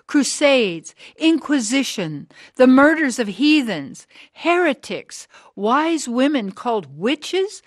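A woman acting in a radio drama as a hard, driven woman of sixty who preaches in a deliberate, rhetorical way. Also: on the high side, no echo, studio quality. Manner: deliberate, rhetorical, emphatic pauses. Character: hard, driven sixty-year-old woman, bitter anti-church preacher.

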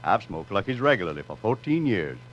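An American man delivers an old radio ad testimonial. His voice is fairly low with animated intonation, and the 1950s broadcast sounds dull. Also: a little fast. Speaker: testimonial reader. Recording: muffled vintage radio broadcast.

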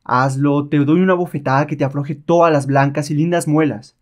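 A man's voice: a little high, steady tone, moderate pace, lightly acted. A man as a cocky, mocking rebel adventurer. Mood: gloating, threatening. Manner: mocking, self-assured. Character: sly, cocky, rebellious enslaved male pirate adventurer. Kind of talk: dramatized comic-book reading.

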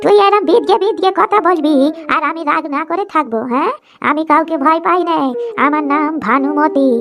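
A woman's voice: high voice, normal speed. A woman voicing a boastful, scheming old village mother, drawling and scolding in rustic dialect for a cartoon. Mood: indignant, scolding.

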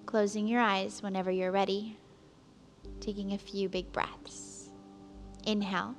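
A woman leads a guided relaxation in a calm, soothing voice. Her pace is unhurried and her pitch stays level, with long pauses between gentle instructions. Faint music plays behind her. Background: soft background music.

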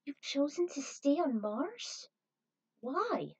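A woman's voice: sits high, big pitch swings, slow pace, dull audio, pitched above the performer's own voice, full character voice. A woman voicing an eager, urgent young woman fighter in a story reading, with emphatic delivery. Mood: surprised, curious.

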